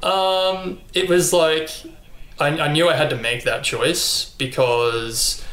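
A young Australian man talking casually in an interview, relaxed and chatty with frequent ums and likes. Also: a little high, slow pace. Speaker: interview guest, athlete. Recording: echoey room.

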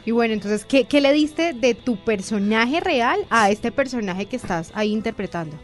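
A woman's voice: fairly high pitch, lively intonation, normal speed, faint music behind. A woman with a Colombian accent hosting a friendly, informal interview in a chatty conversational way. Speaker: interviewer, host.

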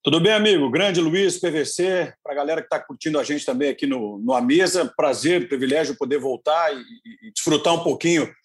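A man with a high voice speaks in long, flowing, effusive sentences. He sounds like a sports broadcaster on a podcast. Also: fairly quick. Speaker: sports commentator guest. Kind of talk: podcast discussion.

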